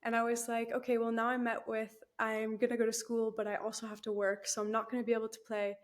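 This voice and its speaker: A woman's voice: on the high side, monotone, fast. A young woman, chatting as a guest in an interview, laughing easily and using lots of fillers. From Canada. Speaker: guest, new team member. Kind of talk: casual interview chat.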